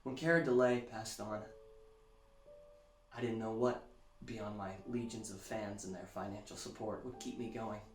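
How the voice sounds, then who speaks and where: An actor playing a showy, self-satisfied celebrity musician, delivering a gushing, theatrical sales pitch with dramatic emphasis. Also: male voice, fairly even intonation, moderate pace, lightly acted. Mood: wistful showmanship. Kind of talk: theatrical infomercial-style pitch in an audio drama. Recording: roomy echo, music underneath.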